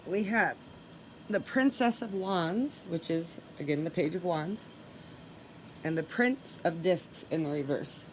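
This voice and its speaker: Low-voiced, stuffy-nosed woman reading tarot. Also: slow, slight background noise, rough recording. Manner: calm, softly repeats requests while drawing cards. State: stuffy nose from allergies. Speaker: tarot reader.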